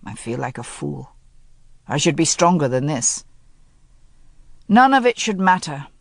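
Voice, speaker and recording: A woman's voice: sits low, very animated, a little slow, creaky, no echo, studio quality, heavily acted. A woman voicing a grieving upper-class man who speaks in a subdued, clipped, self-reproaching way, read as an audiobook character. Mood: weary.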